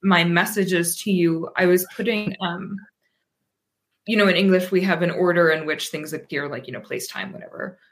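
A woman in her thirties from Pennsylvania, talking as a guest in a relaxed interview. Her voice sits fairly low, and she thinks aloud as she goes, full of ums, restarts and trailing asides. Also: normal speed. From Pennsylvania, USA. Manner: thoughtful, rambling, frequent ums and restarts. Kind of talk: casual interview conversation.

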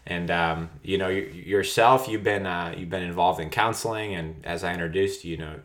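Deep-voiced devout podcast host. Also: male voice. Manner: halting, many fillers and restarts, devout. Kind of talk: podcast host intro and interview.

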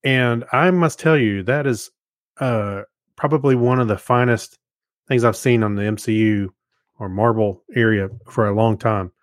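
A man's voice: medium pitch, moderate pace, dry recording, clean studio sound. A man co-hosting a podcast with enthusiastic, easygoing, casual banter. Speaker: podcast co-host. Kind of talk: casual chat.

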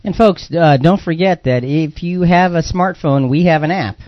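A man's voice: on the high side, normal speed, dry recording. A man hosting a conversational Bible discussion program, explaining points and repeating phrases for emphasis. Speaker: radio program host. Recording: dull, lo-fi broadcast audio.

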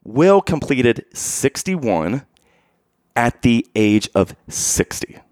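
A man's voice: medium pitch, sing-song, very slow, a little mellow, no room sound. A man hosting a podcast interview, eagerly bragging about his guest and quizzing his co-host. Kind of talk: casual interview chat.

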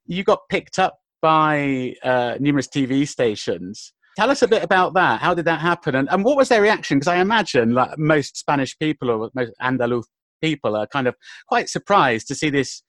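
A man's voice: fairly high pitch, animated, moderate pace, fairly bright tone, no echo. A man hosting an interview podcast, asking curious questions in a casual, eager way with many fillers. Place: based in Madrid.

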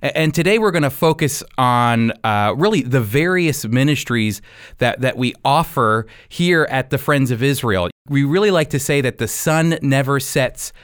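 A man with a smooth, resonant voice hosts a faith-based radio program. His pitch sits in the middle for a male speaker, and his delivery is earnest, with plenty of emphasis. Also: expressive, normal speed, a bit bright. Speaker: radio show host.

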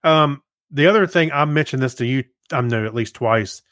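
A man co-hosting a podcast, chatting casually and haltingly as he thinks aloud. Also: medium pitch, fairly bright tone, dry recording, clean studio sound. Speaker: podcast co-host. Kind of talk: casual chat.

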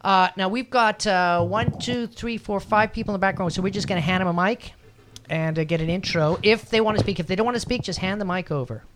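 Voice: medium pitch, fairly quick, noisy background. A podcast host bantering casually with a group in a joking, teasing way.

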